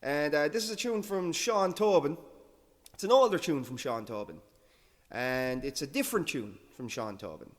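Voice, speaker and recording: A man's voice: a little high, expressive, normal speed, in a large hall. A man hosting an audio show, rambling casually in a laid-back, self-deprecating way. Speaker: radio-style show host.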